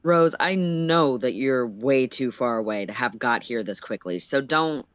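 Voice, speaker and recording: Man playing a deadpan teenage boy, very animated pitch. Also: a little slow, no echo, dull audio, natural voice. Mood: flat, wary. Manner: flat, blunt delivery. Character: deadpan, sarcastic teenage boy, hungry and guarded. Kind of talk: acted dialogue in a story reading.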